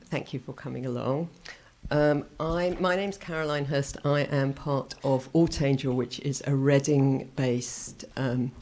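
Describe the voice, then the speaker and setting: A woman giving a conference talk, holding back her naturally loud voice and often stopping to correct herself as she thinks aloud. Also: very deep voice. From England. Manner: loud voice, held down on purpose; thinking aloud, self-correcting, with short laughs. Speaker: conference speaker. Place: conference room.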